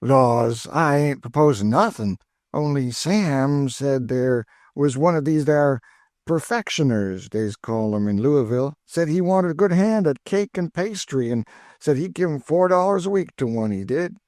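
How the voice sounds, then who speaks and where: A man doing an excitable older cook woman. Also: fairly high pitch, normal speed, dry recording, studio quality, pitched above the performer's own voice, natural voice. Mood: eager. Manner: exclaiming, folksy, eager. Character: warm, chatty older enslaved cook woman.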